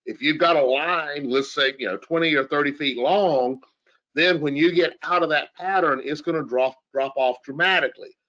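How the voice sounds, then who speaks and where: A man's voice: a little high, normal speed, sharp tone, dull audio. A man giving an informal technical explanation as an expert, in a conversational way with rhetorical questions. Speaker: audio engineer guest expert.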